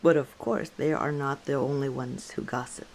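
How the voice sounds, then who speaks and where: A woman playing an easygoing, teasing female orc tavern owner in an unhurried ASMR roleplay. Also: rumbling low, fairly even intonation, a little mellow, slight background noise. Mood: chatty, confiding. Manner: unhurried, playful teasing with frequent chuckles. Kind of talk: ASMR roleplay, one side of a conversation.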